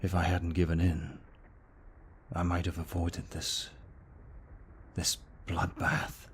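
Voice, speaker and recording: A man as a very deep, slow-spoken courteous vampire. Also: completely flat delivery, a little hiss or hum. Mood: regretful, earnest. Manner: formal, polite, hushed and measured. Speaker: voice actor in an audio roleplay. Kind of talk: intimate ASMR roleplay acting.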